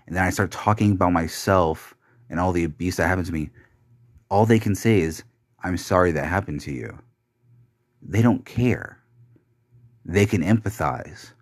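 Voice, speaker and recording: Young man, very deep voice, slow heavy delivery, bitter and profane, dry close sound. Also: dry recording. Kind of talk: personal confessional monologue.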